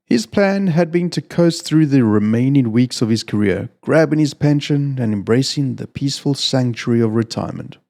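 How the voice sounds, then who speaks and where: A man's voice: mid-range voice, lively intonation, normal speed, few pauses, dark tone. A man presenting and narrating fiction as a storyteller. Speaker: storyteller, channel host reading own fiction. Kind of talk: casual introduction, then audiobook-style story narration.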